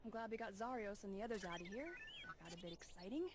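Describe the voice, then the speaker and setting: A spirited young female Jedi acted by a woman in a video game cutscene. Her voice is medium-pitched, assured and brisk, with faint noise behind it. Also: very animated, lightly acted. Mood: upbeat, warm.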